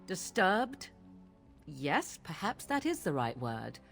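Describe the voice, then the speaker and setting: An actress playing a proud, troubled young witch in a fantasy game, with formal, archaic, deliberate phrasing. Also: fairly low pitch, very animated, vocal fry, faint music behind, exaggerated voice. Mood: grave, disturbed.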